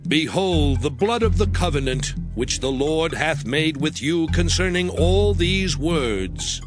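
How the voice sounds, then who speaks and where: A man plays a solemn biblical lawgiver with a medium-pitched male voice. His delivery is slow and declamatory, with expressive rises and falls, over prominent music in a dramatized scripture reading. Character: solemn biblical voice proclaiming divine law and covenant. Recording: music behind the voice. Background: background music.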